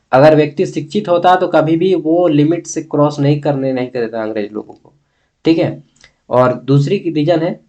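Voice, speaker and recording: A man's voice: fairly high pitch, darkish tone. A man with a Bihar-Jharkhand accent from eastern India, giving an informal exam-review lesson as a blunt, opinionated teacher who keeps checking with listeners. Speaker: history teacher.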